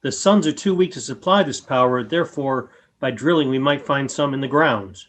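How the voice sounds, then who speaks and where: A woman in a radio play voicing a solemn, courteous alien who speaks in a measured, formal way through a robot. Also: extremely low pitch. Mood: calm, formal.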